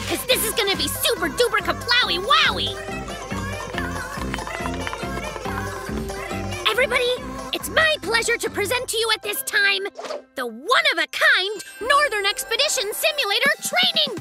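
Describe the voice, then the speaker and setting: A woman voicing a cocky, excitable young male bear inventor in a children's cartoon, with showy, grandiose patter. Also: very high-pitched, moderate pace, over music, exaggerated voice. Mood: showman-like, excited. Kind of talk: animated children's cartoon acting.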